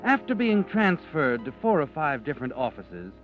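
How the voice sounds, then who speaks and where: Male radio narrator, brooding and insinuating, lively pitch, muffled 1940s broadcast sound. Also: moderate pace. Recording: dull old radio broadcast with music.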